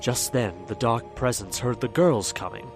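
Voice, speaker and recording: A man's voice: mid-range voice, moderate pace, music in the background. A man narrating a fan audio drama in a theatrical storytelling manner. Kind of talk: scripted narration.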